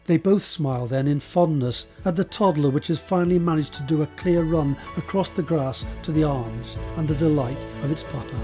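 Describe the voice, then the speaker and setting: A man narrating an audio drama, reading scene descriptions and stage directions in a measured, descriptive way. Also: fairly high pitch, warm tone, soft background music.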